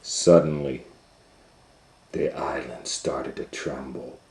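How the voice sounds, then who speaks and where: A man hosting storytime, reading a children's story aloud in a theatrical way with dramatic pauses and swells. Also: a bass voice, fairly even intonation, a little mellow, slight background noise. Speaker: storytime reader and host. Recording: roomy, echoing sound.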